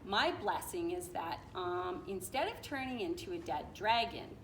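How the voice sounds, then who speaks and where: A woman's voice: on the low side, animated, a little slow, fairly bright tone, roomy sound, a little hiss or hum. A woman voicing a half-deaf, muddled storybook fairy who sounds querulous and quizzical. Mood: muddled, earnest.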